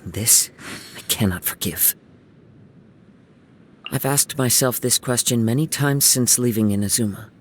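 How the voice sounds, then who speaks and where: A man voicing a gentle young wandering samurai in a video game, soft-spoken and reflective, with lyrical, poetic phrasing. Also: mid-range voice, measured pace, pauses often, a little hiss or hum, natural voice. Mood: solemn. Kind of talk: video game character voice lines. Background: music.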